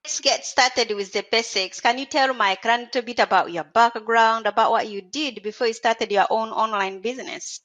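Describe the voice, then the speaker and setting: A woman's voice: on the high side, normal speed, hardly any pauses, fairly bright tone. A woman hosting a podcast, reading a formal scripted intro and interviewing a guest. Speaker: podcast host.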